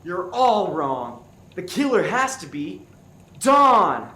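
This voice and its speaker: A man acts an earnest detective agent in a farcical radio play, laying out accusations dramatically. The voice is on the high side with big swings in pitch, in a roomy, echoing space with noise behind. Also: sing-song, sharp tone, heavily acted. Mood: accusing. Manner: deliberate, dramatic deducing. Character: one-eyed male FBI agent turned earnest, dramatic sleuth. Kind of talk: comic stage radio play acting. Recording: roomy, echoey stage with clear background noise.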